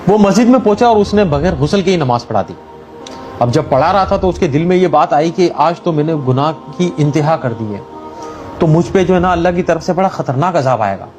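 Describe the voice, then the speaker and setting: Male storyteller, fairly high voice, colloquial religious moral tales, conversational delivery, light music bed. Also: lively intonation, a little fast, a little mellow. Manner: conversational, colloquial with everyday English words mixed in. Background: background music.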